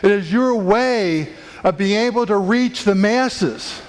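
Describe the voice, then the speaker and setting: Guy with a pretty high voice giving a fired-up talk to a room, kinda preachy. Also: slow pace. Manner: preachy, emphatic, builds up intensity. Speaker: chiropractic speaker. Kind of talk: motivational talk to a live audience. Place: conference room.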